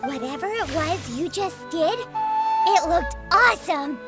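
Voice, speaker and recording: Teeny fairy game sidekick, super high kiddie voice, bouncy and bubbly. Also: female voice, animated, slow, loud music behind the voice, heavily acted. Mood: excited. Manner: bubbly, plain-spoken. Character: tiny, childlike, excitable floating fairy companion. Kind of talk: video game character acting.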